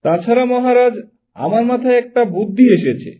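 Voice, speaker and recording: Guy as a crafty cartoon minister, high mellow voice, sucks up to his king. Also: expressive, normal speed. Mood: scheming. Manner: obsequious, sly. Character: cunning, flattering royal minister. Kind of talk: Bengali cartoon dubbing.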